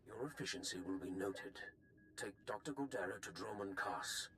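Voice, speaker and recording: An actor playing a vengeful, grieving Sith lord admiral who gives menacing, contemptuous commands in a game. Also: male voice, lowish voice, moderate pace, bright, forceful tone, a little hiss or hum.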